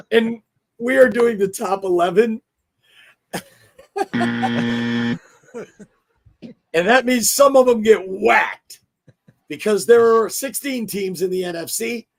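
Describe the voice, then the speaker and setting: A hoarse man hosting a casual sports talk show, chatty and excitable. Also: high-pitched, slow, pauses often, soft background music. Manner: chatty, excitable, restarts often. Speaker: show host.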